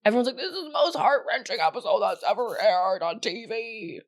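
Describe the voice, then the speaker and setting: A woman's voice: fairly high pitch, animated, a little fast. A woman co-hosting a podcast with casual, teasing banter and dramatic comic emphasis. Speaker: podcast co-host.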